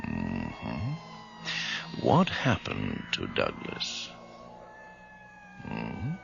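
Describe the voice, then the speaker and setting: A man's voice: a bass voice, wildly swooping pitch, very slow, vocal fry, full character voice. An actor playing a stern middle-aged doctor in a radio horror drama, insistent and authoritative with his patient. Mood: probing, firm. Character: stern, commanding doctor treating a possessed patient. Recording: muffled, noisy old radio recording.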